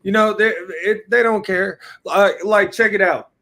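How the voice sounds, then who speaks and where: A man's voice: high-pitched, a little slow, pushed and bright. A man from Louisiana with a Southern US accent, talking in a laid-back, rambling way on a casual podcast. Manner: laid-back, rambling, often says "you know what I mean". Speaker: co-host.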